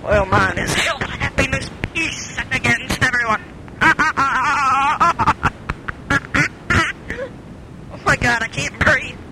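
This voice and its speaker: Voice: sits high, slow pace, noisy background. An adult chatting casually with their mother, giggling, silly and playful. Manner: giggly, silly, breaks into song and goofy voices. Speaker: adult child recording with mother. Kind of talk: casual chat.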